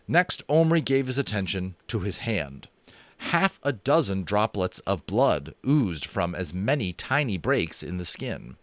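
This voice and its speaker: A man narrating a children's fantasy book aloud in an expressive, dramatic style, with casual asides. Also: on the low side, unhurried, no room sound. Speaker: audiobook narrator.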